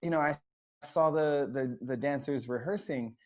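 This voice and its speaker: A man's voice: a little high, moderate pace. A man from the United States, raised in Hawaii, a choreographer and dancer chatting casually as an interview guest, rambling with many fillers and restarts. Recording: dull lo-fi sound.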